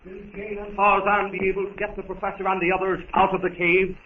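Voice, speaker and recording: A man's voice: high voice, little pitch movement, fast talker. A man narrating a 1930s radio serial as its announcer, dramatic and formal. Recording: tinny, noisy 1930s radio recording.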